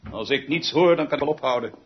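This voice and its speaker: A man's voice: medium pitch, fast talker, a little hiss or hum, lightly acted. A man in a radio drama playing a pompous, obsessive music director who declaims grandly and repeats words for emphasis. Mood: pompous, indignant. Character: pompous, obsessive, theatrical male music director, haunted by lost half-sister. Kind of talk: theatrical radio drama acting. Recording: dull lo-fi radio recording with music.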